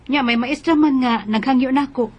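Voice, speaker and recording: An actress playing an earnest, eager nineteen-year-old working student with a Cebuano accent in a radio drama. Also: female voice, sits high, normal speed, dull audio. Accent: Cebuano Filipino. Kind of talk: Filipino radio drama acting and narration.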